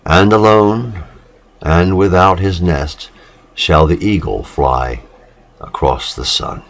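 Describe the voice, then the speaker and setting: A man giving a solemn literary reading, measured and dramatic, with pauses between short phrases. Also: sits low. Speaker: reader of poetic literature.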